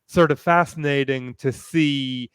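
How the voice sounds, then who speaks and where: A man speaking as a panelist in a fan discussion, hesitant and thoughtful, often halting as he phrases things. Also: mid-range voice, steady tone, a bit bright, smooth, dry recording, studio quality.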